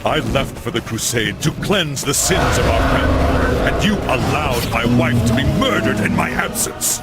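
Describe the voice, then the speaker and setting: An actor playing a bitter, accusing noble crusader father in a video game, speaking gravely and reproachfully. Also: medium pitch, expressive, moderate pace, natural voice. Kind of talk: dramatic video game acting. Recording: loud music behind.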